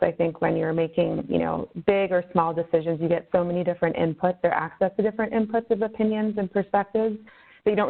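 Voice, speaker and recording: A woman in her thirties, a consulting manager, giving a relaxed self-introduction full of fillers on a webinar. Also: fairly low pitch, gravelly. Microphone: conference call line. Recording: phone-line webinar audio.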